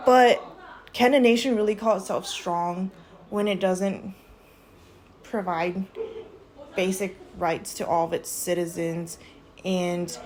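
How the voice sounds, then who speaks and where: Opinionated young woman from Minnesota. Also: lowish voice. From Minnesota, USA. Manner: opinionated, asks rhetorical questions. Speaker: student panelist. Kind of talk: class discussion.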